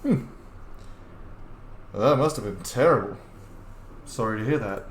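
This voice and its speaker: A man's voice: medium pitch, lively intonation, a little slow, roomy sound, a little hiss or hum. A man doing casual video game playthrough commentary and reading out dialogue choices. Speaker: gamer playing through a role-playing game.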